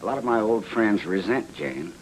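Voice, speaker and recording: An actor playing a gruff, self-made wealthy client in a film noir, blunt and commanding. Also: male voice, on the low side, expressive, normal speed, slight background noise, lightly acted, low-quality recording. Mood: earnest, reflective. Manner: blunt, plain-spoken, commanding. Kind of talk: film noir screen acting.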